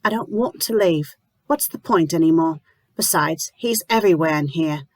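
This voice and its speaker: A woman voicing a grieving young widowed mother who pleads in a high, strained voice. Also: normal speed, a little mellow, no room sound. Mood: mournful.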